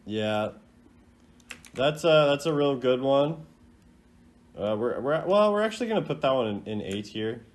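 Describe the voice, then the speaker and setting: A man's voice: mid-range voice, lively intonation, a little slow, pauses often. A man hosting a video in casual unscripted commentary, rambling and hesitant in a laid-back way. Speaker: host ranking game voice lines.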